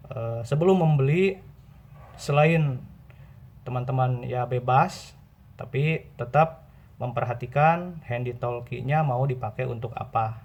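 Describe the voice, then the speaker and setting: A man hosting an informal tutorial, explaining step by step with pauses and repetition. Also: mid-range voice. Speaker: video host and radio seller. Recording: dull, muffled lo-fi audio.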